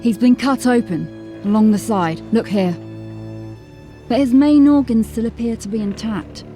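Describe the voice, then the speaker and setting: A woman's voice: a little high, a little slow, dark tone, lightly acted. An actress playing a practical, matter-of-fact woman officer of a city watch. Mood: clinical. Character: steady female watch officer, level-headed. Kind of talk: audio drama acting. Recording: music bed under the voice. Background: music.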